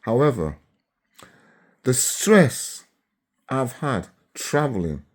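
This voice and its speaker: Unhurried man in his seventies. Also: fairly low pitch, a little mellow. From Barbados. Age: 70s. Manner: chatty, keeps checking in with listeners. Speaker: vlogger. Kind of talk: casual monologue to camera.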